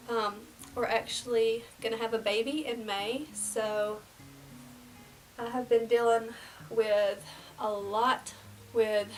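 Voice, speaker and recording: A young woman in her twenties, slightly nasal from a cold, chatting casually through a get-ready-with-me beauty vlog and dropping to soft half-whispers as she works. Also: medium pitch, recorded from a distance, rough recording. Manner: casual and rambling, drops to near-whispers while applying makeup. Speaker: beauty vlogger. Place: small indoor room before a plain backdrop.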